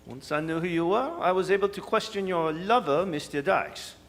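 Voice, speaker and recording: A man's voice: high-pitched, moderate pace. A man playing a suave, scheming French villain with a French accent, courtly, wordy and sardonic, in a radio drama. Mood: gloating. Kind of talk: staged radio drama performance. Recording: live hall, long reverb, noisy.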